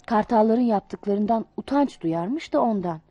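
Expressive woman telling a fable. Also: mid-range voice, unhurried, no room sound, muffled recording. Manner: expressive, sing-song storybook delivery. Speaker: storyteller. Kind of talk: reading a children's fable aloud.

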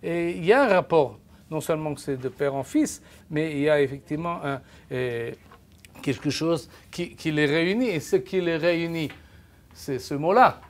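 An older man teaching a Bible class in a lecture room with no microphone, speaking haltingly with many restarts and raising his voice to carry. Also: fairly high pitch, animated. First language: French. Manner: halting, many restarts and repeated words, raises his voice to be heard. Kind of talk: lecture to a live audience. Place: lecture room with projector screen. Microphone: no microphone, distant camera.

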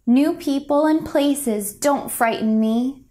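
A young woman reads a children's storybook aloud at a very slow, deliberate pace. Her voice sits a little high, and she delivers the lines gently and expressively, as for small listeners. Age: young adult. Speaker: picture book reader. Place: indoors, a home room.

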